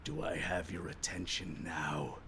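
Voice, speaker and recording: A man voicing a huge, taunting god of war with a booming voice in a tabletop game. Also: little pitch movement, measured pace, background noise. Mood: menacing taunt.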